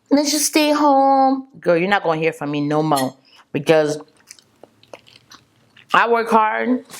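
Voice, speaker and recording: A young woman, a French native speaker, chatting sassily with viewers and calling them girl and honey. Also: on the low side. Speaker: beauty vlogger. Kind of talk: casual chat answering viewer assumptions.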